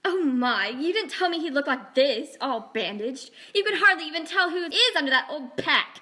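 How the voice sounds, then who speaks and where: A woman's voice: high-pitched, expressive, moderate pace, sharp tone. A woman voicing a spiteful, self-pitying second wife in an amateur scripted film, whiny and snappish.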